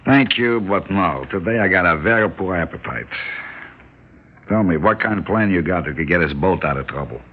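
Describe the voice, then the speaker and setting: Low, wry old deli man. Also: normal speed, breathy edge. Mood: wry, doubtful. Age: older. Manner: wry, resigned, politely deadpan, inverted phrasing. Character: weary, wry older male delicatessen owner held hostage. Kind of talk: radio drama acting. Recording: dull, hissy old radio recording.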